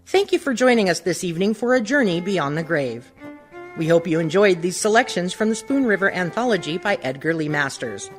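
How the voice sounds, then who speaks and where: A woman announces for a radio drama in a somewhat low voice. She reads prepared narration and credits, with fiddle music playing behind her. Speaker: announcer closing a radio play.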